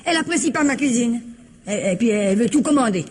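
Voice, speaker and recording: A husky, fairly high-voiced older woman cook in a radio mystery. She chatters in homely, colloquial turns, blunt and proud, rambling as she confides. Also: expressive, quick pace, darkish tone. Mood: blunt, confiding. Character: chatty, plain-spoken older female household cook, proud. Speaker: actress in a radio mystery play. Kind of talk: French radio mystery drama acting.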